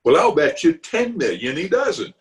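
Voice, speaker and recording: A man's voice: fairly high pitch, very animated, normal speed. A man playing a blustering, boastful middle-aged town official in an old-time radio comedy, theatrical in manner. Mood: challenging. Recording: roomy video-call recording.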